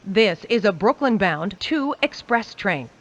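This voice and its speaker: A woman giving recorded train announcements in a measured, formulaic announcer delivery. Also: mid-range voice, sing-song. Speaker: transit announcer.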